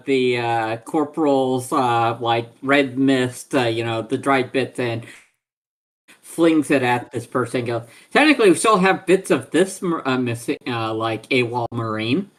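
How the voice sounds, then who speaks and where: Mid-pitched man narrating his game character. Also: lively intonation, unhurried, fairly bright tone. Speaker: tabletop game player. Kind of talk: casual narration of own character's actions.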